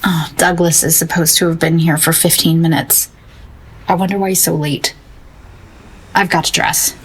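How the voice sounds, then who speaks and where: A young woman painter with a husky, fairly low voice. She talks in a naturalistic audio drama style, earnest and gushing, smitten and ready to defend herself. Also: fairly even intonation, normal speed. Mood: brisk. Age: young adult. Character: dreamy, infatuated young woman painter, defiant and adoring.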